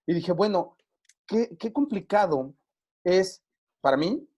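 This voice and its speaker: A man's voice: sits high, expressive, slow, mellow. A man hosting an online group session as life coach, chatting casually with frequent fillers over a video call.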